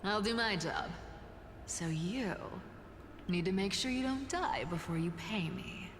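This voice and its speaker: A woman acts a dry mercenary from a video game. Her medium-pitched voice rings with long reverb and sounds businesslike and self-interested, with noise behind it. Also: expressive, measured pace, natural voice.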